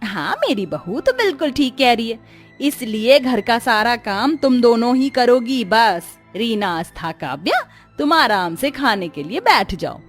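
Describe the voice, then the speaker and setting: A woman's voice: a little high, big pitch swings, unhurried. A woman voicing a domineering, spiteful middle-aged mother-in-law in a cartoon, scolding and taunting. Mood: bossy, indulgent. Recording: music under the voice.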